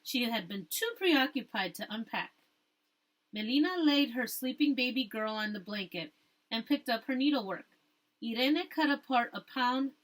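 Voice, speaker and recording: A woman teacher reading a storybook aloud, rereading stumbled phrases and pausing to explain things to her listeners. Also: high-pitched, fairly bright tone.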